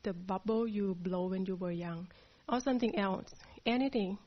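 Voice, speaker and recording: Thai-born woman leading a meditation class, soft and halting, bit dull lo-fi sound. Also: medium pitch. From Thailand. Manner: soft, hesitant, many pauses and fillers. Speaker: meditation teacher. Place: meditation hall.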